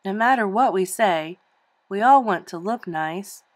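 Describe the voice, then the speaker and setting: A woman reading a storybook and voicing a middle-aged mother who speaks softly to calm her daughter. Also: medium pitch, a little slow, no room sound. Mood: soothing. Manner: soft and soothing.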